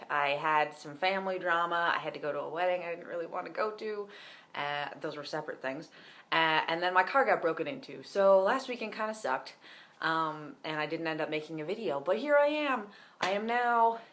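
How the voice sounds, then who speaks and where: A man's voice: fairly high pitch, pushed and bright. A young man with a voice that cracks now and then gives a casual, rambling video diary update at home, with many ums and likes. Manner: rambling, lots of "um" and "like". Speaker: vlogger.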